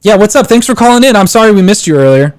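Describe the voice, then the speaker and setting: A man's voice: high voice, animated, quick pace, a little hiss or hum. A man in his thirties hosting a live call-in show, giving casual advice in a rambling way with many fillers and restarts. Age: 30s. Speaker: podcast host.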